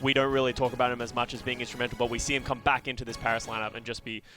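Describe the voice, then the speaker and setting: A man's voice: mid-range voice, little pitch movement, rapid-fire, fairly bright tone. A man from Sweden working as an esports analyst on a live desk show, eager and playful. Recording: music bed behind.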